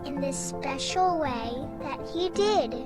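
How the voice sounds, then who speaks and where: A performer playing a cheerful child spirit from heaven in a children's audio drama, explaining gently and earnestly. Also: high voice, animated, slow. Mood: earnest, tender. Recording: children's music under the voice.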